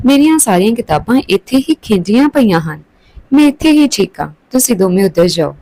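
A woman's voice: medium pitch, sing-song, fairly quick, mellow, dry recording, poor audio. A woman voicing a studious, hurt eldest sister who protests plainly in a story. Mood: protesting.

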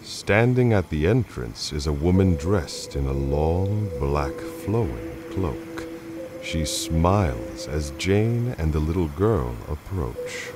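A man's voice: extremely low pitch, lively intonation, slow pace, noisy background. A man narrating a radio play in a dramatic, scripted style.

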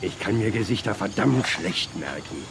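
A flustered old-West army colonel who grumbles and hesitates. His pitch jumps about a lot, over loud outdoor background noise in a radio play. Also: animated, normal speed. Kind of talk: German children's audio drama acting.

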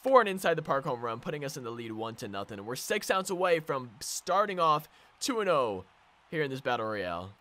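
A young man with a sore throat, casually commentating his own baseball video game from a home gaming room on a desk mic, getting excitable and sing-song over big plays. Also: medium pitch, a little fast. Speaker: gaming channel host. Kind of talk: live gameplay commentary.

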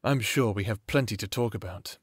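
A man playing an attentive, gentle therapist in an audio roleplay, measured, polite and probing. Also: fairly low pitch, husky, no room sound, clean studio sound. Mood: welcoming, careful. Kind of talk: audio roleplay acting, one-sided conversation.

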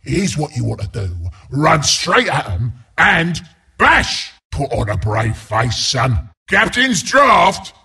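A mean, cocky porcupine brawler announcing a video game in a British accent. The voice is gravelly and pitched high for a male, swinging widely up and down. He barks it out in a blunt, taunting, rowdy way, with a hard, forceful edge. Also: huge pitch swings, a little slow, hardly any pauses, full character voice. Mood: scornful, gruff. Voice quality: gravelly, raspy. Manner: taunting, rowdy, blunt, mock-sympathetic asides.

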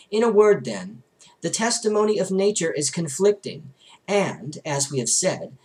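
Young man reading a religious book aloud. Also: fairly high pitch. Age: young adult. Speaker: book reader. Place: small bedroom, indoors.

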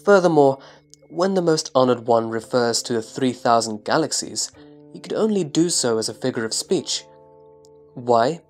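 A man acts as a reverent male disciple in a scripture audio drama. He explains things carefully and deferentially in a mid-pitched voice over music. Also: animated, normal speed, darkish tone, close to an everyday voice. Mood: reverent, thoughtful. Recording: music behind the voice.

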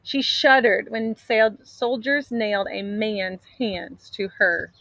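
A woman in her thirties reads a picture book aloud in an expressive, dramatic storytelling manner. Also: medium pitch, slow pace, no echo, low-quality recording. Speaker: storybook reader. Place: outdoors in an open grassy area.

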